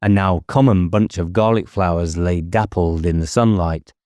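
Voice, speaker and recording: A man's voice: low-pitched, fairly even intonation, moderate pace, darkish tone, dry recording, studio quality. A man reading a novel aloud as an audiobook narrator, in a scripted dramatic style.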